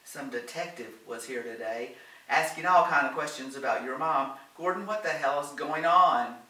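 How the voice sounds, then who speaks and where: A man reading the part of a forceful, anxious woman in a storybook, loud and insistent. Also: mid-range voice, normal speed, reverberant, far from the mic, low-quality recording. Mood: demanding.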